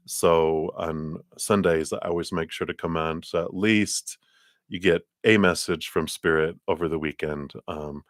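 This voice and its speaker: Man, very deep voice, tarot reader, rambling casual chat, dry close sound. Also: a bit bright, no echo. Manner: rambling, chatty, laughs often. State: tickle in throat, coughing. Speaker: tarot reader hosting a live stream. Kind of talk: casual livestream chat and card reading.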